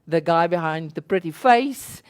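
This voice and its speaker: A South African woman, Afrikaans by birth, hosting a company interview in a halting, giggly way. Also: lowish voice, expressive, clear, resonant tone. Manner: giggly, halting, lots of ums and restarts. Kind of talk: casual corporate interview.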